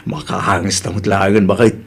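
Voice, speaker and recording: A man's voice: low-pitched, little pitch movement, moderate pace, vocal fry. A man playing a humble, polite husband in an audio drama, deferential and easygoing, with an Ilocano accent. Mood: easygoing, pleased.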